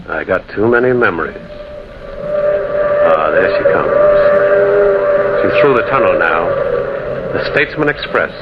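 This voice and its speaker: Brooding, solitary prospector narrating a spooky radio play; theatrical delivery, dull vintage sound with faint noise. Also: male voice, lowish voice. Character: lonely, haunted male prospector narrating a ghost tale. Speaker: narrator and lead character. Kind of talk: old-time American radio drama acting. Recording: dull, noisy old radio recording.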